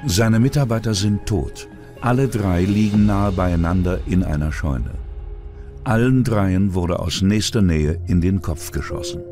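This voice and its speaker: Male narrator for crime documentary, deep register, scripted delivery over faint music. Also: unhurried, mellow.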